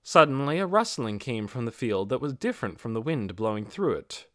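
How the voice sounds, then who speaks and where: A man's voice: medium pitch, sing-song, fairly quick, fairly bright tone, no room sound, clean studio sound. A man reading a fantasy novel as a scripted audiobook narration.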